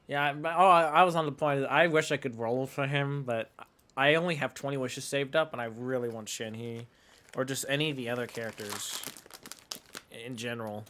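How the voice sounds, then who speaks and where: A man's voice: medium pitch, steady tone, brisk, a bit bright. A man live-streaming a game with casual, rambling, chatty commentary.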